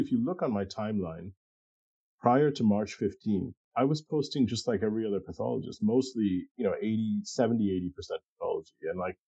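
Low, husky, mellow middle-aged man. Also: no echo, muffled recording. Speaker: pathologist, interview guest. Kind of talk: casual, reflective interview conversation.

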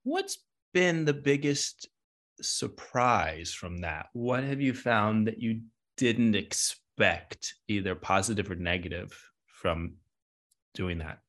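A man's voice: fairly low pitch, lively intonation, slow, fairly bright tone. A man hosting a conversational interview, encouraging his guest with short affirmations and follow-up questions. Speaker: interview host.

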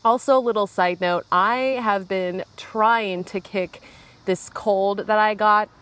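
A woman's voice: medium pitch, steady tone, slow, fairly bright tone, smooth, no echo. A young woman hosting a casual solo podcast, chatting and rambling from her backyard while getting over a cold with a lingering cough. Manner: chatty, rambling, frequent "like" and asides. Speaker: podcast host. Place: outdoors in a backyard.

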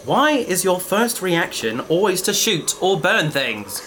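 An actor playing an eager bookish explorer. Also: male voice, sits high, big pitch swings, slow pace, sharp tone, slight background noise, exaggerated voice. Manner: enthusiastic, lecturing. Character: bookish, curious young adventurer. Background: music.

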